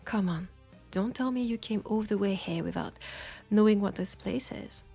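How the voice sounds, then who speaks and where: A woman with a French accent playing a knowing, teasing witch in an intimate roleplay audio drama, with husky, breathy speech and dramatic pauses. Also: medium pitch, dark tone, faint music behind. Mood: teasing, curious. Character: knowing, teasing, compassionate witch in a secluded werewolf town.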